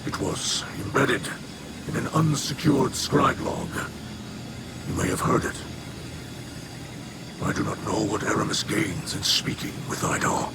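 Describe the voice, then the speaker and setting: A man playing a solemn, protective alien leader and troubled father in a video game, gravelly, formal and grave. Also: lowish voice, lively intonation, unhurried, long pauses, heavy background noise. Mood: grave, urgent. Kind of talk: video game mission narration.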